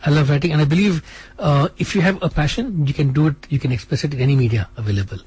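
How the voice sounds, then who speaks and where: Male radio guest, rapid delivery, husky low-lit timbre. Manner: fast, animated, restarts often. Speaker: interview guest, author. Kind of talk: casual radio interview. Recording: radio studio.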